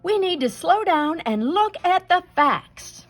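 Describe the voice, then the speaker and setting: A woman's voice: very high-pitched, sing-song, slow pace, faint music behind, pitched above the performer's own voice, heavily acted. A woman voicing a small, feisty young chicken in a storybook, jumpy and exclaiming. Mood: insistent. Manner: exclaiming, stammering when flustered.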